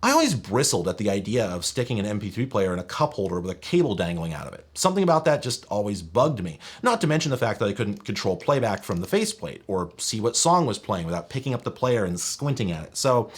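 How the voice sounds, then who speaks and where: A man's voice: on the low side, very animated, quick pace, hardly any pauses, slightly rough. A man presenting a conversational scripted review, opinionated, wry and articulate. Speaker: video host and gadget reviewer.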